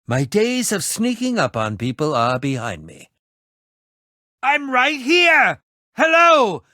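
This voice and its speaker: A man's voice: high-pitched, huge pitch swings, takes it slowly, pushed and bright, dry recording, clean studio sound, heavily acted. An actor playing an eccentric, self-important man who fancies himself a ninja in a video game, speaking in a pompous, dramatic, wordy manner. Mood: eager, insistent. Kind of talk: animated video game voice acting.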